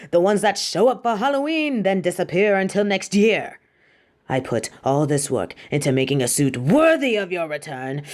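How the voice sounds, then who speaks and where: Woman playing a theatrical, proud superhero costume designer. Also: deep voice. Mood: incredulous, offended. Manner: grandiose, dramatic, addresses listener as darling. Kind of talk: scripted roleplay audio monologue.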